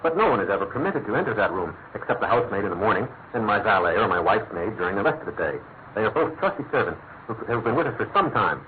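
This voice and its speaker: An actor playing an earnest, anxious British government minister in a classic radio drama, speaking formally and emphatically. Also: male voice, fairly low pitch, big pitch swings, fast. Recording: thin narrow-band old radio recording, faint noise.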